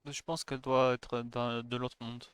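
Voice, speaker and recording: French-speaking man streaming, medium pitch. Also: flat delivery, moderate pace, fairly bright tone, a little hiss or hum. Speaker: livestreamer. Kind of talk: reading game dialogue aloud in French, with casual comments. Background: faint game music.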